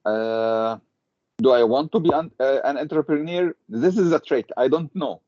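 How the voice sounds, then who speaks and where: A man's voice: medium pitch, normal speed, a little mellow, no echo. A man from Egypt introducing himself in class, modest and hesitant, with many uhs. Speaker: student. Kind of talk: self-introduction. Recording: dry, dull lo-fi audio.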